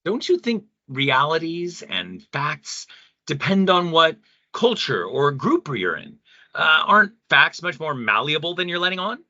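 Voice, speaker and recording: A man acting an earnest, bright-voiced thoughtful pastor. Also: fairly high pitch, very animated, no echo, muffled recording, full character voice. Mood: challenging. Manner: thoughtful, measured, philosophical. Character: earnest male pastor, secretly a weary, loving god. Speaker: pastor in a debate. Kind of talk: audio play acting.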